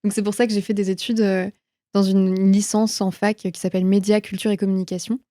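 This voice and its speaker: Dry, mellow-voiced young woman in conversation. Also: mid-range voice, flat delivery, fairly quick, no room sound. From France. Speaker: interview guest, film production manager. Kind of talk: casual conversational interview, personal anecdotes.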